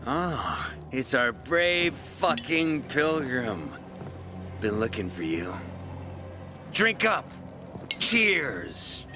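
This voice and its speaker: A man's voice: medium pitch, very animated, very slow, pauses often, over music. An actor playing a brash, hot-headed male brawler in a video game, boastful, mocking and theatrical. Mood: swaggering. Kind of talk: video game character acting.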